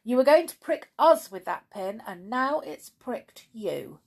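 A woman's voice: on the high side, animated, a little slow, vocal fry, a little mellow, husky, pitched above the performer's own voice, exaggerated voice. A woman doing a smug, scolding Pink Rabbit.

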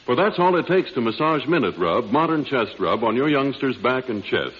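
A man's voice: mid-range voice, very animated, normal speed, slightly rough. A man announcing and reading advertisements in 1940s radio style, with a polished, folksy sales delivery. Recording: muffled 1940s radio recording.

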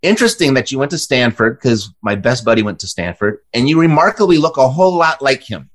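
A man's voice: mid-range voice, very animated, fast talker. A man hosting a real estate podcast, promoting offers and interviewing a guest in an upbeat, friendly way. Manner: upbeat, friendly salesman delivery. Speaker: podcast host.

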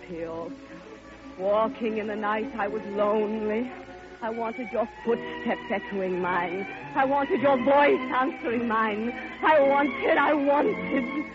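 Actress as a slow, impassioned, lovelorn older woman. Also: mid-range voice, close to an everyday voice. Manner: impassioned, theatrical, halting repetitions. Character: lonely, lovestruck older wealthy Russian widow, passionately yearning. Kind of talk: classic radio drama monologue. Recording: muffled old radio recording, music behind.

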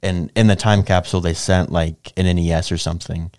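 A man co-hosting a podcast in casual banter, talking in a rambling, halting way full of "like". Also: sits low, steady tone, a little mellow, no echo, studio quality. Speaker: podcast co-host. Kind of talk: casual banter about video game movies.

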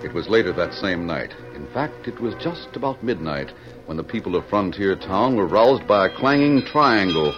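A man's voice: sits low, sing-song, moderate pace. A man narrating a western adventure in dramatic, scripted 1940s radio style. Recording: muffled old radio with music behind.

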